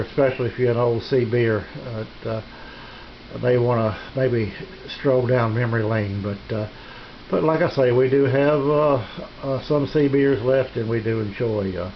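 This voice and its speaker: Halting older man, dull lo-fi sound. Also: mid-range voice. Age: middle-aged or older. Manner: halting, hesitant, folksy delivery. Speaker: radio hobbyist presenting his equipment. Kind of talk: casual unscripted show-and-tell. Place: small home radio room. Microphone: handheld camera's built-in microphone. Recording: dull lo-fi camera audio, background noise.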